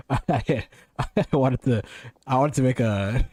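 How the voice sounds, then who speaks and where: A man's voice: mid-range voice, extremely slow, a little mellow, slightly rough, no room sound, studio quality. A man giving casual, wry, joking commentary as he streams a video game.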